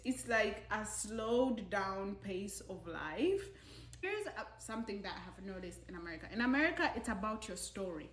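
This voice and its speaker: A woman's voice: a little high, reverberant. A young woman from Uganda, talking earnestly to camera in a casual vlog and stressing her points with rhetorical questions. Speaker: vlogger.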